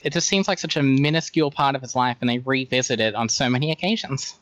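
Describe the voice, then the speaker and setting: A man's voice: medium pitch, fast talker, fairly bright tone, no room sound, highs cut off. Dry-recorded, chatty podcast guest, a man. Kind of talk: casual podcast chat.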